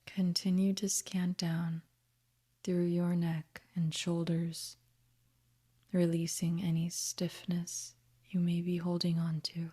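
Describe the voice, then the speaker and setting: A woman leads a guided meditation in a soft, half-whispered voice. Her tone is dark and mellow, and her pitch barely moves. She gives each gentle instruction very slowly. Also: monotone, husky. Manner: gentle, soothing, unhurried instruction.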